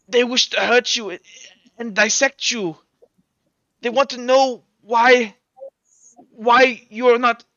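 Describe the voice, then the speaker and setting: A man voicing a grave, kindly doctor in a tabletop game, speaking with halting, earnest urgency. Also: very high-pitched, sharp tone, dry recording, muffled recording, voice pushed up, heavily acted. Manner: halting, earnest, repeats himself.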